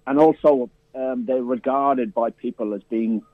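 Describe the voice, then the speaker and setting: A man's voice: medium pitch, fairly even intonation, a little slow, no room sound. A man who programs a film festival talks about films in a radio interview, stopping and restarting often with many fillers. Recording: tinny, dry phone line.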